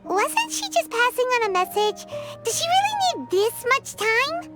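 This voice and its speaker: Squeaky, excitable little fairy girl. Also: a little slow, exaggerated voice. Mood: puzzled. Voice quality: squeaky, childlike. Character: small excitable childlike fairy companion girl. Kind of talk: video game voice acting. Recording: loud music behind the voice. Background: loud game music.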